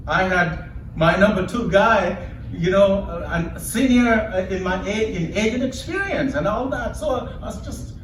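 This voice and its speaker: A man's voice: high-pitched, expressive, unhurried. A middle-aged man with a Kenyan accent gives a live speech to an audience, telling personal stories emphatically and repeating phrases for rhythm. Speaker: public speaker, leader of an organization. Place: indoor event before an audience. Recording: echoey room, distant mic, background noise.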